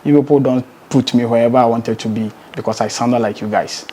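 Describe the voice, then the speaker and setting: A man's voice: medium pitch, fairly even intonation, fairly quick, mellow, a little hiss or hum. A man with a Ghanaian accent speaking as a musician being interviewed, emphatically, repeating words and posing rhetorical questions. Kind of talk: conversational interview.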